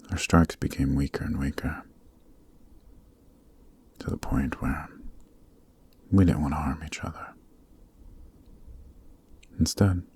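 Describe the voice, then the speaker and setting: Man playing a very deep, gravelly demon king. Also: a little mellow, a little hiss or hum, full character voice. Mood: reflective. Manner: measured, soothing, deliberate, breathy near-whisper delivery. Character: noble, calm demon king, gentle and conciliatory. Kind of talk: ASMR roleplay, soft dramatic acting.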